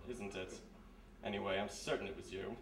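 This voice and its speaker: A polite, quietly menacing British officer played by a man, speaking in a formal, insinuating way. It is a radio mystery on a live stage, with the voice set back in an echoey room. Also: lowish voice, fairly even intonation, brisk, gravelly. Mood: veiled threat. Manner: formal, clipped, smugly insinuating. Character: courteous, menacing British military police major. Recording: echoey live stage, distant, faint noise.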